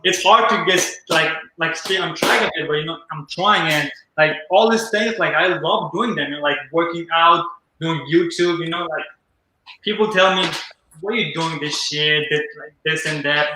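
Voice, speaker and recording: Teenage man, earnest, filler-heavy, fairly high voice, bright tone, very echoey room. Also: moderate pace, a little hiss or hum. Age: teens. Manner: earnest, upbeat, lots of "like" and "you know". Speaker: livestream host. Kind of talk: casual live group chat.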